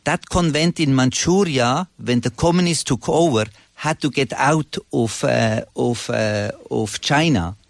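A man in his sixties with a Swiss accent, speaking conversationally as an interview guest in halting, non-native English. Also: mid-range voice, gravelly, no room sound, clean studio sound. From Switzerland. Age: 60s.

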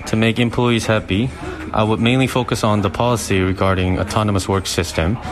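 A man from South Korea giving a measured, prepared answer in a somewhat low voice. Music plays clearly behind him.

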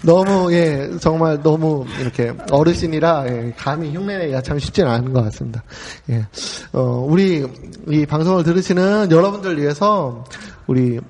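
A man in his forties hosting a relaxed talk show, chatty and full of easy fillers. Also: a little high, moderate pace, hardly any pauses, in a large hall, a little hiss or hum. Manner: chatty, frequent filler "ye", laughs easily. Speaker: host. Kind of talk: casual podcast talk.

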